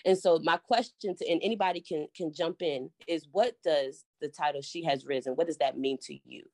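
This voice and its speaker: A woman's voice: sits low, a little fast, a little mellow, dry recording, studio quality. A woman hosting a panel podcast, rallying her guests with energy. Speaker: podcast host.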